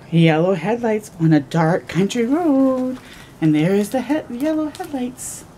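A woman's voice: fairly high pitch, a little hiss or hum. A middle-aged woman hosting a children's storytime, reading a picture book aloud expressively with little asides, recorded outdoors on a camera close by. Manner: expressive, slow storytelling with gasps and drawn-out words. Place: outdoors, porch or yard in early morning.

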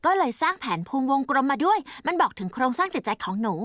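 A woman's voice: high voice, sing-song, fast talker, fairly bright tone. A woman voicing a precocious four-year-old girl scientist in a cartoon dub, speaking in a formal, lecturing, matter-of-fact way.

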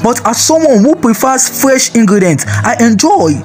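A man with a high voice narrates a scripted health video as its host. He reads evenly from a script, sometimes with a sing-song lilt. Faint music plays under his voice-over. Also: sing-song, normal speed, a little mellow. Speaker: channel host.

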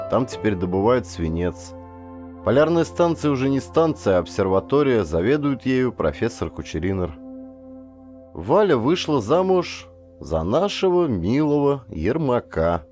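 A man reading first-person literary fiction as an audiobook, with a dramatic, deliberate storytelling delivery. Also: medium pitch, darkish tone. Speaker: audiobook narrator, actor.

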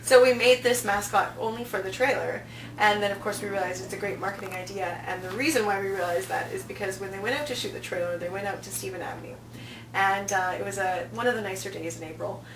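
Woman chatting in a humming, roomy space. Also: mid-range voice. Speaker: festival programming director, interview guest. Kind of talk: casual interview chat. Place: small office, indoors. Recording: roomy, distant mic, noticeable hum.

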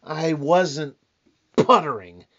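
A man playing a groggy, grumpy husband in a radio-style comedy, tossing off deadpan wisecracks. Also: fairly high pitch, slow. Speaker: community theater actor. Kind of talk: old-time radio comedy reading. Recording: dull lo-fi recording.

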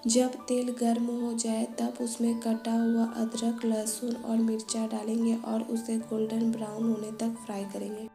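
A woman calmly narrating a home cooking recipe step by step, listing each ingredient in a methodical way. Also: on the high side. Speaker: cooking channel host. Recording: echoey room voice-over with faint music.

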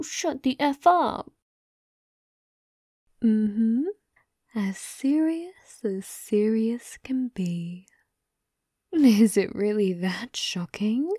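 Woman acting a slow, taunting yandere brat. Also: medium pitch, warm tone, no echo, studio quality. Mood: teasing. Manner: taunting, breathy, drifting into whispers. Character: bratty, sadistic yandere young woman, teasing her captive. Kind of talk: ASMR audio roleplay.

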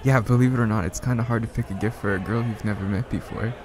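A man acting a shy, smitten young man in an ASMR roleplay, speaking softly and hesitantly with bashful stammers. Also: on the low side, fairly even intonation, fast talker, background noise, natural voice. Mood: tentative, smitten. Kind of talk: ASMR roleplay, soft one-sided conversational acting.

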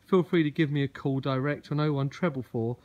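Guy touring a garden build on his phone, bit higher voice, chatty and keen, lots of ums. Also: brisk, darkish tone, highs cut off. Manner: chatty, enthusiastic, many ums and uhs. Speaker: garden building company representative. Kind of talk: walkaround video tour. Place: outdoors in a large garden and inside a garden gym. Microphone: phone camera held while walking.

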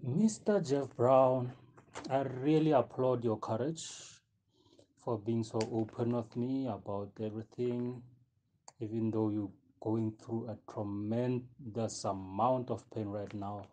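A man's voice: mid-range voice, steady tone, slow, mellow, slight background noise, lightly acted, rough recording. A man playing a sympathetic, polite investigator in an amateur audio drama, speaking with hesitant care. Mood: sympathetic, earnest. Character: sympathetic male investigator taking a witness statement.

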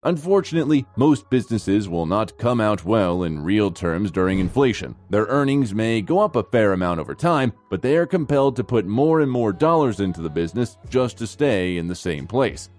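A man's voice: on the low side, very animated, moderate pace, few pauses, darkish tone. A man reading scripted narration as the narrator of a finance explainer video. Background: background music.

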